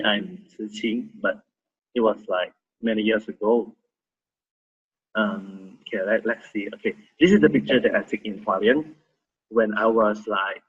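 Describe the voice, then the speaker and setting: A man in his thirties with a Malaysian accent, sharing his story as a volunteer in a halting way with many restarts. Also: on the low side, slightly rough, narrow, tinny audio. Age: 30s. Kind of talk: casual testimony sharing.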